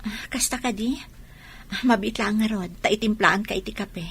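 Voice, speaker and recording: A woman's voice: medium pitch, normal speed, a bit bright, breathy edge. A woman acting a caring wife and mother in an Ilocano radio drama. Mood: calm, caring.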